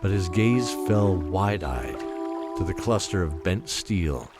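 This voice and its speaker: A man narrating a fantasy story from a script in a measured, dramatic storytelling manner. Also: sits low, expressive, loud music behind the voice.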